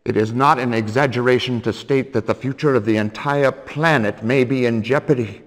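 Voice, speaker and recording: A male actor plays a grave military commander in a game cutscene. He speaks with solemn, portentous weight at a middling pitch, and his voice rings with long hall reverb. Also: steady tone, moderate pace, lightly acted. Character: grave military commander giving a dire briefing.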